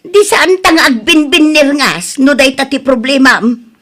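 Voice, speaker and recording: A woman's voice: high-pitched, a little slow, fairly bright tone. A woman with an Ilocano accent playing a headstrong widowed mother in a comedy drama, pleading and emphatic. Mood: indignant, insistent. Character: headstrong widowed mother insisting on marrying a younger man. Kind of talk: Ilocano comedy radio-style drama acting.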